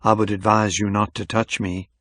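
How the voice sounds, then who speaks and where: A man voicing an unflappable FBI agent in an audiobook, with a Southern US accent and smooth, formal, measured speech. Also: lowish voice, level tone, a bit bright, no echo. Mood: cool, cutting. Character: cool, insolent male Southern FBI special agent.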